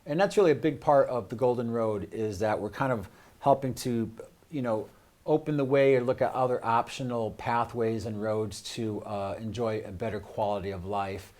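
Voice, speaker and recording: A man's voice: medium pitch, moderate pace, a little mellow. An American man hosting a casual studio interview, talking in a rambling, hesitant way. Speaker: show host. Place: live studio.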